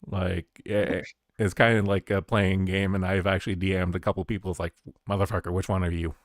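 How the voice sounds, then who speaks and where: Stammering deep-voiced Canadian man. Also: level tone, a little fast, no echo, studio quality. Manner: stammering, repeats words, many "uh" and "and stuff". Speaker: stream guest. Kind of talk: casual chat.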